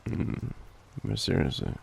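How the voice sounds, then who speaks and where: A young Australian man with a cold, coughing now and then, murmuring softly and teasingly in an intimate boyfriend roleplay. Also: low-pitched, vocal fry, slight background noise. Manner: soft, drowsy, teasing murmur.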